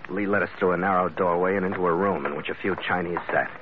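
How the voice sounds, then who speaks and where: Man playing tough low-voiced American sea captain, old radio. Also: fairly even intonation, quick pace, lightly acted. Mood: narrating. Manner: terse, hard-boiled, take-charge. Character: tough, brisk American ship captain narrating his adventure. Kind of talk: old-time radio adventure acting and narration. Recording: dull old radio recording with music.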